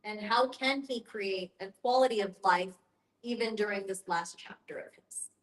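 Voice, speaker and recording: A woman's voice: medium pitch, moderate pace. A woman speaking as a panelist in a conversational group discussion, agreeing and explaining. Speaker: panelist at a discussion on end-of-life planning. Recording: roomy echo.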